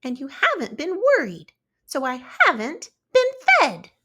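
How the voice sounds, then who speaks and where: A woman's voice: high voice, wildly swooping pitch, measured pace, pushed and bright, pitched above the performer's own voice, full character voice. A woman voicing a tiny, pushy, hungry bug that complains and demands in a children's storybook. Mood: indignant.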